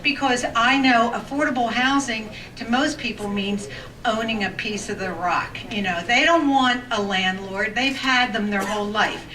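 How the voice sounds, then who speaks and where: A woman giving public comment at a township council meeting, pressing pointed questions with halting restarts and a big voice. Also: fairly high pitch, sharp tone. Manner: says she has a big voice. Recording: echoey room, distant mic, background noise.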